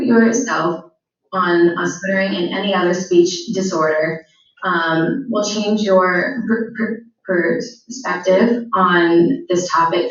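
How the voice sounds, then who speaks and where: A teenage girl giving a school presentation, stuttering with repeated words and frequent fillers. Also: fairly low pitch. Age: teens. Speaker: student speaker. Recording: very echoey, distant, dull sound.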